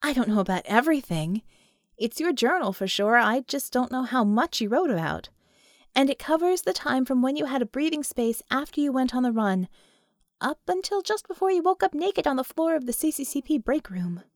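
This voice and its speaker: A woman's voice: sits high, lively intonation, fairly quick, no echo, studio quality. A woman narrator voicing a frank, savvy female mage in an audiobook, matter-of-fact in manner. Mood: explanatory.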